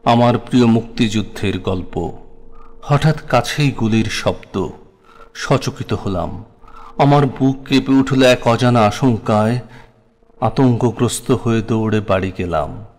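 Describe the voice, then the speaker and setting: A man with a Bangladeshi accent recites a memoir very slowly and solemnly. His voice is fairly low and literary in its phrasing, trailing long hall-like reverb. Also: a little mellow. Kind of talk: scripted dramatic memoir recitation.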